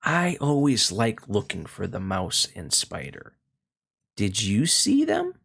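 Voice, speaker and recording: A man hosting a read-along, reading a children's picture book aloud in a slow, expressive way and then chatting about it. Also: gravelly, slightly rough. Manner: slow, expressive storytelling with swooping pitch.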